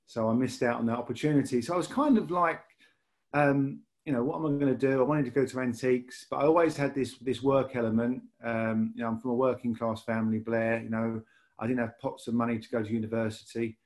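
A man's voice: mid-range voice, steady tone, fairly quick, darkish tone. A man with a Lancashire accent, a podcast guest telling his story in a rambling way, with many restarts. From Lancashire, England. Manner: rambling, repeats words and restarts often. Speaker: podcast guest, rail industry manager. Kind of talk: casual podcast interview.

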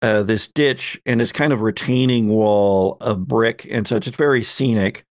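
A man's voice: fairly low pitch, measured pace, no echo, studio quality. A man narrating a tabletop game as its game master, setting scenes in descriptive detail.

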